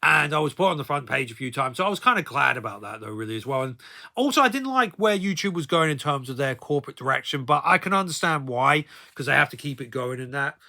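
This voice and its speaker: A man's voice: mid-range voice, animated, quick pace, bright, forceful tone. A man in his thirties telling personal stories in a casual, rambling vlog style, often tacking filler phrases onto the ends of his sentences. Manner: rambling, repeatedly tagging sentences with "though, really, as well". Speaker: video blogger.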